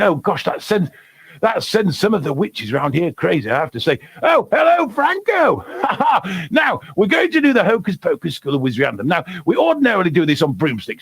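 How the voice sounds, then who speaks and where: Man playing an ancient male wizard, excitable, giggly, husky, dry close sound, live story time for kids. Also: sits high, no echo, heavily acted. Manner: bubbly, greets viewers by name. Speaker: children's story-time host.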